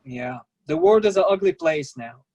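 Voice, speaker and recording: Earnest ship worker. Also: female voice, low voice, animated, warm tone. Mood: nostalgic. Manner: halting, simple non-native phrasing. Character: idealistic young Brazilian cruise-ship worker, earnest and secretive. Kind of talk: dramatic staged play reading.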